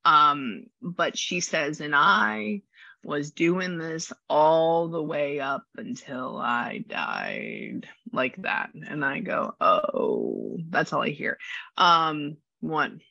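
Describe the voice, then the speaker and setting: A woman with a low voice and a slight rasp, chatting through a spirit reading and passing on messages. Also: big pitch swings, drawn-out words, pushed and bright, dry recording, highs cut off. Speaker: psychic medium.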